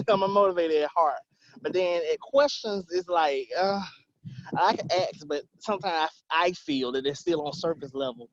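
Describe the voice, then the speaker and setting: A man's voice: on the high side, normal speed. A man in his twenties chatting on a video call from his phone, rambling and correcting himself as he goes. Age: 20s. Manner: rambling, self-correcting, upbeat. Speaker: program participant. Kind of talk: casual video call chat. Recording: dull phone audio with faint noise.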